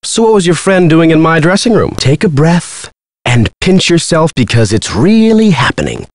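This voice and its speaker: Vain, animated movie star. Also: male voice, on the high side, moderate pace, no echo, clean studio sound. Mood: smug. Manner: self-important, showy, glib. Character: vain smooth-talking young male movie star. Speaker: actor character in an adventure game. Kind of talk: video game voice acting.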